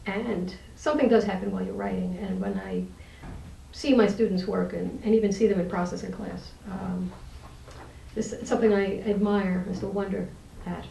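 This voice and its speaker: A woman's voice: mid-range voice, lively intonation, normal speed, gravelly, dark tone, slight background noise. A woman who is an interview guest, a poet and teacher, talking and reading her poetry aloud. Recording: roomy echo, distant microphone.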